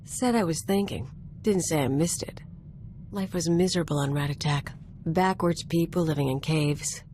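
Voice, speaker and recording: A woman's voice: low voice, normal speed, warm tone, slight background noise, close to an everyday voice. A woman playing a brash, guarded young female sidekick in a video game, speaking in a blunt, casual way. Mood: distracted, guarded. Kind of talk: scripted video game acting, conversational.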